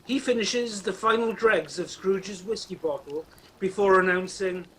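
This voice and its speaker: A man's voice: sits high, measured pace, fairly bright tone, breathy edge, full character voice, poor audio. A man playing a wry storytelling narrator in an amateur radio play. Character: wry storybook narrator of a Christmas ghost tale. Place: at home, recorded over video call. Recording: faint noise, home video-call recording.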